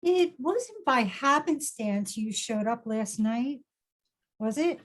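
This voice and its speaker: Frightened, devoted hostage played by a woman, high register, unhurried, earnest pleading. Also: lively intonation. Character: terrified but loyal friend held hostage. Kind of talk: dramatic table read.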